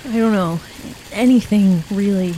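A woman's voice: mid-range voice, measured pace, dark tone, a little hiss or hum, close to an everyday voice, rough recording. A woman playing a suspicious, headstrong young hiker with an earnest, urgent delivery in a naturalistic audio drama. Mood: focused. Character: suspicious, headstrong young woman lost camping, haunted by lake.

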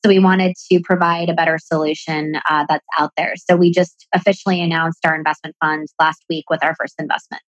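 A woman's voice: low-pitched, flat delivery, brisk, hardly any pauses, no echo, muffled recording. A woman with an American accent, raised in Pakistan, chatting as a podcast guest, upbeat and explaining at length. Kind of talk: podcast interview.

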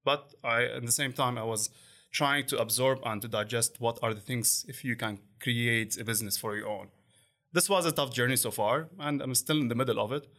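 Guy in his 40s on a podcast, bright tone, lots of uhs and restarts. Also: medium pitch, fairly even intonation. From Lebanon. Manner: halting, with frequent fillers and restarts. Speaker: podcast guest. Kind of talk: conversational interview.

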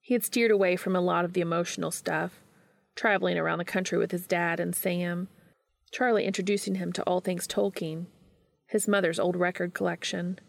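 Female narrator for fiction reading, lower register, slight creak, dry close sound. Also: fairly even intonation, a little fast, no room sound. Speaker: fan fiction reader. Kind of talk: scripted story narration.

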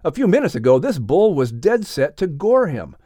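A man narrating a children's animal story as an audiobook reader, in an expressive scripted style. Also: on the high side, moderate pace, no room sound, studio quality.

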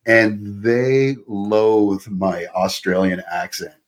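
A man's voice: fairly low pitch, fairly even intonation, very slow. A man from Canada chatting casually as a podcast guest, with lots of fillers. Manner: chatty, laughs easily, many likes and you-knows. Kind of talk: casual video-call chat.

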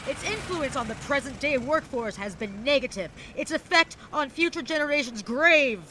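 An actress playing a bold, sassy soapbox activist with a brash way of talking in a 1920s period radio drama. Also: female voice, high-pitched, lively intonation, moderate pace, pushed and bright, slight background noise. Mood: impassioned.

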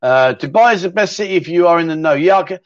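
Man, middle-aged, livestream host, fairly high voice, dry dull sound. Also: animated, quick pace, no echo. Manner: chatty, rambling, matey. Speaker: livestream chat show host. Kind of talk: casual livestream chat reading viewer questions.

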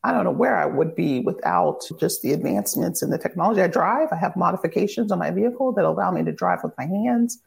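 A woman's voice: lowish voice, expressive, fast talker, gravelly, hardly any pauses, a little mellow. A woman in her thirties, an author and advocate, telling her life story in a candid, upbeat way as a podcast guest. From Virginia, near Washington DC. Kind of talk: podcast interview.

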